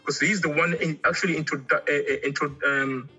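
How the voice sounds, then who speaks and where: A middle-aged man from Angola, raised in Congo, chatting casually as an artist guest in an interview, halting and often repeating words. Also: on the high side, steady tone, pushed and bright, breathy edge, muffled recording, soft background music.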